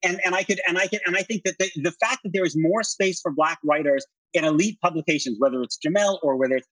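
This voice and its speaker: Husky, higher-voiced middle-aged man debating politics. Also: fairly bright tone, no echo, low-quality recording. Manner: insistent, halting with repeated phrases when pressing a point. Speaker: interview guest, political writer.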